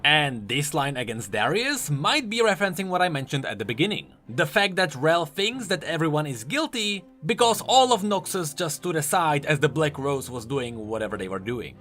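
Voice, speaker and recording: A man's voice: a little high, sing-song, normal speed, hardly any pauses, a bit bright. A man presenting explanatory video commentary on game lore, informal and emphatic in his delivery. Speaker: YouTube game lore host.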